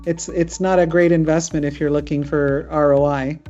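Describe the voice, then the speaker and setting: Chatty low-voiced woman webinar host. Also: steady tone, moderate pace, warm tone, faint music behind. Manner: chatty, with frequent fillers and laughs. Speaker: immigration lawyer hosting a webinar. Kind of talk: casual webinar talk. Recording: muffled lo-fi video call audio.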